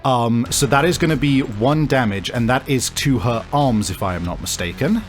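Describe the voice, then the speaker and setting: A man runs a tabletop game as its game master. His voice sits at a middle pitch, and he explains and describes off the cuff in halting phrases. Music plays clearly behind him. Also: expressive. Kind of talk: improvised narration of a tabletop game.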